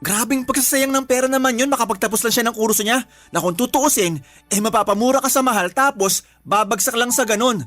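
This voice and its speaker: A man's voice: extremely high pitch, brisk, fairly bright tone, faint music behind. A man playing a sullen, stubborn twenty-year-old son who argues back in a radio drama. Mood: argumentative. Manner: whiny, argumentative.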